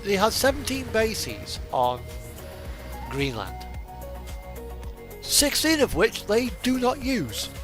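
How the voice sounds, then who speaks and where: A man rambling casually as he streams, speaking haltingly with pauses mid-sentence. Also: sits high, huge pitch swings, a bit bright. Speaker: game streamer. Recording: electronic music playing behind the voice. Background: non-stop music from in-game radio, vehicle sounds.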